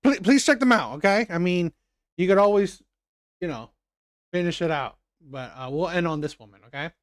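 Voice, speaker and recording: A man's voice: high voice, no echo, studio quality. Hesitant man commenting on a clip. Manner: halting, hesitant, trailing off. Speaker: reaction video host. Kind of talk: casual commentary over a clip.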